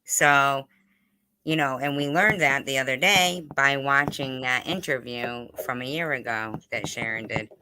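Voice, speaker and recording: A livestream host in casual interview chat, talking insistently in halting bursts and circling back to the point. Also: medium pitch, background noise.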